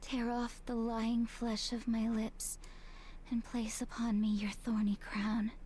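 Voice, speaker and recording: A woman voicing a timid, obedient young girl who recites prayers haltingly in a visual novel. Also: a little high, monotone, a little hiss or hum. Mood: hushed, drained. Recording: loud music under the voice.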